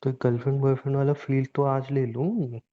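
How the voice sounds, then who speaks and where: A man's voice: mid-range voice, level tone, brisk, lightly acted. A man playing a teasing, romantic young groom-to-be who fusses and banters playfully in an intimate phone-call drama. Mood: coaxing, romantic. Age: young adult.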